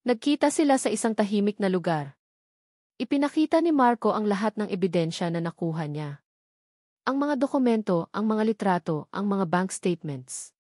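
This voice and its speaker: A woman narrates a scripted dramatic story. Her voice sits at an average pitch for a woman. The recording is very dry, with no audible room around her. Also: lively intonation, moderate pace, dark tone, dry recording.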